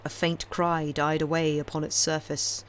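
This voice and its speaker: A woman reading classic fiction aloud as an audiobook narrator, in a measured, expressive, dramatic storytelling style. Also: low-pitched, a little hiss or hum. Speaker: audiobook reader.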